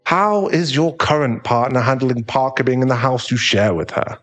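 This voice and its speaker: A man playing a calm, frank professional therapist in an audio drama, speaking in a measured, formal, tactful way. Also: medium pitch, close to an everyday voice. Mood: probing. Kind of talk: dramatic audio roleplay acting.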